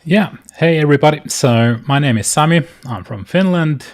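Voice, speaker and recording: A man's voice: on the high side, animated, moderate pace. A man in his thirties from Denmark who moderates an online music community, friendly and upbeat. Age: 30s. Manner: friendly, upbeat self-introduction. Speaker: subreddit moderator and label owner.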